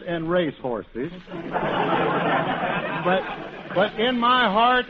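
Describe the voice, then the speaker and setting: A man giving a mock-earnest comic monologue on live radio, with halting restarts. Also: high-pitched, background noise. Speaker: radio comedian. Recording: lo-fi 1930s radio broadcast.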